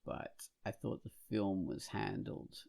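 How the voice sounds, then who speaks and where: A man co-hosting a podcast, speaking casually in a halting, reflective way. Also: fairly low pitch, fairly even intonation, creaky, dark tone. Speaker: podcast co-host. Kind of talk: casual conversational discussion.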